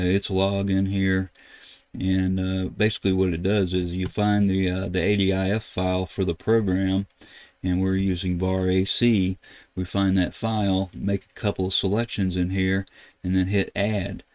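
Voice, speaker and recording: An older man hosts a casual ham radio tutorial at his computer. His voice sits low and stays very flat in pitch. He explains in a halting, rambling way, with a dry recorded sound free of room echo. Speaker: ham radio video host. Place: indoors at a computer desk.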